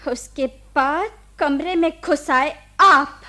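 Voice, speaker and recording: A woman's voice: high-pitched, slow, sharp tone, exaggerated voice. A woman acting a proud, accusing young nurse in a radio play, with an indignant, cutting way of speaking. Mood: bitter, accusing. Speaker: radio drama actor.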